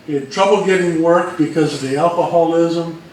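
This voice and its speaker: A man's voice: on the high side, fairly quick, a little mellow. A man presenting history informally, in a hesitant, matter-of-fact storytelling way. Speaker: co-presenter of a talk on old-time radio history. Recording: echoey room from far off, faint noise.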